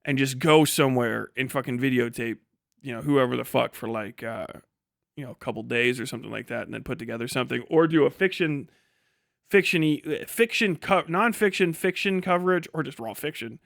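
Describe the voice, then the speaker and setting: A man's voice: mid-range voice, a little fast, gravelly, a bit bright, dry recording, studio quality. A tired man casually chatting and ranting on a livestream as its host, rambling and bluntly swearing. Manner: rambling, blunt, heavily profane. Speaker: livestream host and video creator.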